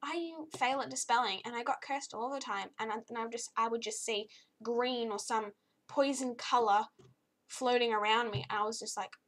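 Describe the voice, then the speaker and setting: Slightly croaky, chatty young woman reviewer. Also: a little high, steady tone, normal speed, fairly bright tone. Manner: chatty and easily exasperated, with frequent fillers. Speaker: game reviewer. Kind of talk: casual spoken game review.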